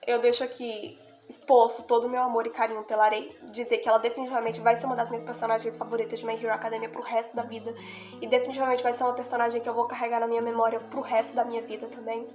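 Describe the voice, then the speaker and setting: A young woman with a nasal, stuffed-up voice from a cold talks through a heartfelt fan analysis. Her voice sits slightly high, and she keeps checking in with the viewer as she goes. Soft music plays faintly in the background. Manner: earnest, heartfelt, repeats "né?" and "sabe?". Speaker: video creator analyzing anime. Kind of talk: casual analysis monologue.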